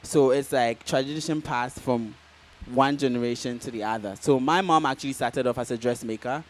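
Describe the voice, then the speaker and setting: A Ghanaian man answering interview questions in a relaxed, casual way, with many "um"s and "like"s. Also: mid-range voice, moderate pace. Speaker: guest fashion designer.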